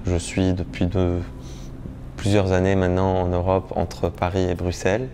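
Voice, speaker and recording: A performance artist from Lebanon speaking in an interview, pausing often with fillers and restarts. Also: male voice, deep voice, monotone, mellow, slight background noise. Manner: hesitant, many fillers and restarts.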